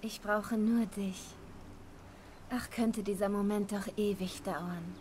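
An actress playing a shy, adoring young newlywed wife with a soft voice. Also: female voice, medium pitch, fairly even intonation, slow, natural voice. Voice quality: soft, timid. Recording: game audio with ambient noise.

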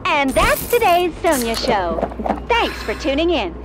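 An actress playing a bubbly, showy female TV show host in a video game, with brisk presenter patter. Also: high voice, huge pitch swings, over loud music, heavily acted. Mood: showy, upbeat.

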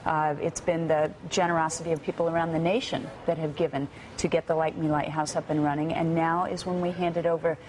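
A woman's voice: deep voice, little pitch movement, brisk, hardly any pauses, darkish tone, slight background noise, low-quality recording. Earnest woman guest on a news show. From United States. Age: middle-aged. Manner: earnest, hesitant with fillers. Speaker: guest, activist. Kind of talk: TV interview answers. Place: TV news studio.

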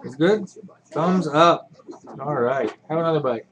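A man hosting a casual home cooking show with his kids, filmed in a kitchen on the camera microphone, chatty and giving quick instructions. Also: on the high side. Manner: relaxed, chatty, gives quick instructions to children. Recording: noisy home video.

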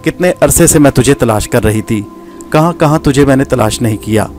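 A man's voice: mid-range voice, expressive, lightly acted. A man voicing a furious young woman who hurls bitter, scornful insults at her father's killer, with music behind him. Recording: background music.

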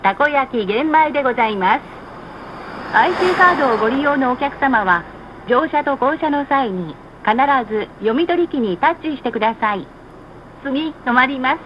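Woman, high voice, formal bus announcer, measured and polite, noisy vehicle background. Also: lively intonation. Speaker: recorded bus stop announcer. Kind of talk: scripted announcement. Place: played over speakers inside a moving bus. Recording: muffled, noisy recording inside a bus. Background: bus engine and road noise.

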